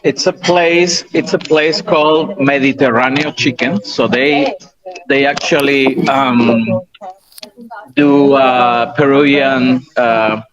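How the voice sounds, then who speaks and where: A man chatting haltingly, with frequent restarts and fillers. His voice comes through a dull, muffled call line, with a lively crowd talking behind him. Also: mid-range voice. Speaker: on-site reporter. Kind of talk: casual chat.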